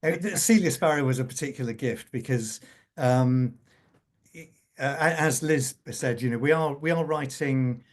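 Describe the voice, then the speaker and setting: Halting man in a panel conversation. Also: mid-range voice. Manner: halting, with repeated words and false starts. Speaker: scriptwriter on a panel.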